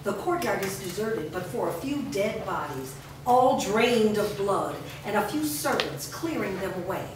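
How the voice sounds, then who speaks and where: A woman's voice: medium pitch, very animated, unhurried. A woman reading stage directions as scripted narration. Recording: noisy, very echoey hall from far off.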